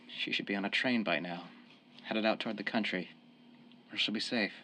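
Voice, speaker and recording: A man's voice: fairly low pitch, normal speed, sharp tone, slight background noise. A man playing a desperate young family man turned mob killer in a radio crime drama, earnest and defensive. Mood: earnest, regretful. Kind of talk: old-time radio crime drama acting.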